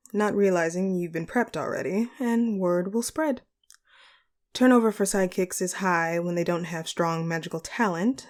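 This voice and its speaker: A woman performing a composed, courteous female supervillain boss in a scripted audio roleplay, speaking to the listener in formal, measured phrases. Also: mid-range voice, darkish tone, natural voice.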